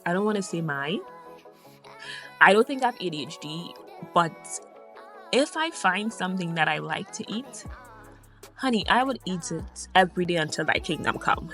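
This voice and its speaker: A woman with a Trinidadian accent narrates her vlog in a loose, chatty voice-over, drifting happily from one thing to the next. Her voice sits a little low for a woman, with faint music playing underneath. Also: fairly bright tone. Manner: chatty, digressive and upbeat. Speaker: vlogger.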